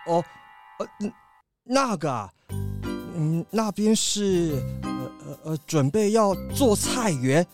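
Capybara butler, cartoonish radio play: obsequious and formal, high voice, swooping intonation, easily flustered. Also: male voice, expressive, slow, music in the background, full character voice. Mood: nervous, evasive. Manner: obsequious, formal, stammering when evasive. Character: fawning, nervous male capybara butler. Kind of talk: children's mystery audio drama.

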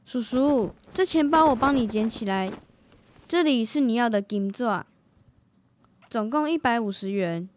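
A man's voice: very high-pitched, expressive, a little slow, a little hiss or hum. A young boy acting a polite, helpful schoolboy minding a shop in a radio drama. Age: child.

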